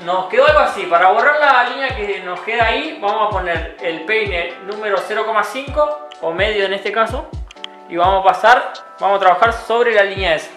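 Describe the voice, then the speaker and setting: A young man, a barber calmly explaining a haircut step by step in a small barbershop. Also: sits high, fairly quick. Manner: calm, instructive, repeats steps patiently. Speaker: barber instructor. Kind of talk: step-by-step tutorial narration. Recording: roomy sound with music behind. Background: background music and clipper buzz.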